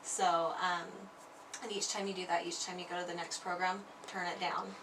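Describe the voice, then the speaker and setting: A woman's voice: on the low side, level tone, moderate pace, recorded from a distance, noisy background. A woman audiologist patiently explaining device settings to a patient, checking often that she understands. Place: clinic room.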